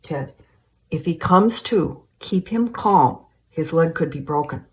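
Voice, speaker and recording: A woman's voice: medium pitch, big pitch swings, slow, darkish tone, slight background noise, poor audio. A woman voicing a fussy, nervous British man with a British accent, fretting as he speaks. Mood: fretful.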